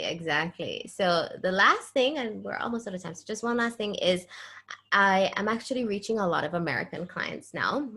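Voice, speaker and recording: A woman career coach hosts an interview and steers it toward its close. She is encouraging and direct, with a voice that sits a little low. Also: a bit bright. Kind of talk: casual interview chat.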